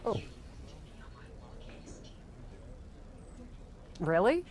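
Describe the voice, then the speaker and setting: A woman playing a cheeky, quick-witted female wrestler and acting manager in a video game cutscene. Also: mid-range voice, sing-song, a little mellow, slight background noise, natural voice. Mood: guarded. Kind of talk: scripted game cutscene dialogue.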